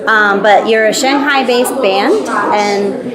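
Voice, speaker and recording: A woman interviewing a band, asking quick friendly questions and backing them up with short replies. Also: mid-range voice, roomy sound. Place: noisy music venue. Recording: crowd noise behind.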